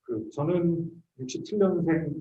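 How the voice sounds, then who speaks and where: A man's voice: a little high, measured pace, warm tone, muffled recording. A man in his fifties from South Korea, a professor giving an introductory lecture in a conversational, explanatory way. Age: 50s. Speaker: medical school professor. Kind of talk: introductory lecture to graduate students. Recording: echoey room, distant microphone.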